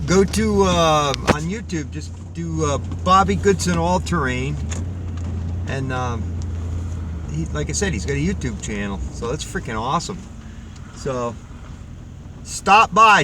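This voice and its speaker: A man in his fifties, a logger, chatting casually to the camera from inside his pickup truck, rambling and folksy with lots of fillers and restarts. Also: mid-range voice. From Rhode Island. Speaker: logger and vlogger. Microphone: dashboard camera in front of him. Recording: distant car mic with road and outdoor noise.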